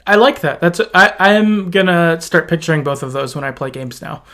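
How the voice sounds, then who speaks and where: A man's voice: fairly high pitch, lively intonation, moderate pace, sharp tone. A man hosting a podcast, talking casually in an agreeable, rambling and readily amused way. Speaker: podcast host. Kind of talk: casual conversational game discussion.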